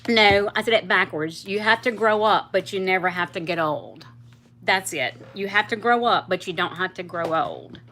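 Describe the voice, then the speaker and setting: Bright-voiced chatty woman in her fifties. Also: medium pitch, moderate pace. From South Louisiana, US. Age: 50s. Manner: chatty, rambling, laughs easily. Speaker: livestream host. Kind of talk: casual livestream chat with viewers.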